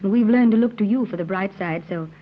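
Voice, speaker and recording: Woman as a gentle mother, muffled vintage radio. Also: medium pitch, fast talker, natural voice. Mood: gentle, concerned. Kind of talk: old-time radio serial acting. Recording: muffled, noisy vintage radio recording with hum.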